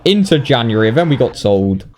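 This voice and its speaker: Sweary British lad narrating game highlights. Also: male voice, sing-song, fairly quick, darkish tone. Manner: sweary, blokey, mock-indignant. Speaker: gaming video narrator. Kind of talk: casual game highlights commentary.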